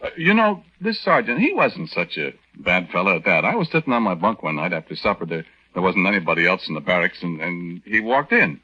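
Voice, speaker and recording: Flustered, stammering young American private. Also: male voice, low voice, big pitch swings, brisk, hardly any pauses. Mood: confiding, agitated. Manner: hesitant, stammering, folksy mild exclamations. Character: meek, flustered young married army private, earnest everyman. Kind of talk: 1940s radio drama acting, confiding first-person narration. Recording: dull, band-limited 1940s radio recording.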